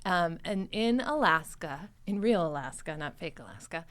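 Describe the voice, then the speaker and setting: A woman's voice: fairly low pitch, lively intonation, a bit bright. Effusive, chatty middle-aged woman hosting. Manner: effusive, chatty, quick to laugh. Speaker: podcast host, actor. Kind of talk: casual chat.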